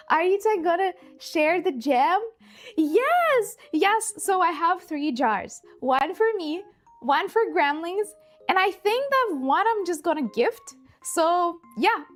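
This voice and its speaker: Woman streamer, high voice, Ukrainian accent, bubbly and rambling casual chat, music underneath. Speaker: livestream host. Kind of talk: casual livestream chat while cooking.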